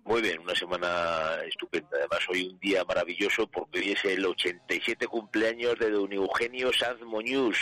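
A middle-aged man, chatting on local radio, joking and halting with frequent restarts. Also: deep voice, steady tone, pushed and bright, no echo. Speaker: radio contributor. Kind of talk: casual radio chat.

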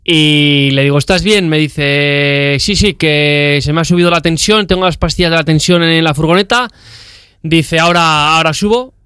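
A talkative man, guesting on a radio show to talk about long bike rides. His voice sits a bit high and comes across smooth and resonant. The studio sound is dry and close. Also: moderate pace, no room sound. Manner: chatty, animated, retells dialogue. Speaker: cycling guest. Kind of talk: radio interview, storytelling.

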